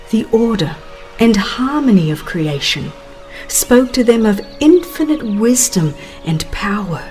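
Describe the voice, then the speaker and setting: A woman reading a religious text as a dramatized audiobook, in a measured, reverent and expressive way, drawing out the ends of phrases. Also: a little high. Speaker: audiobook narrator.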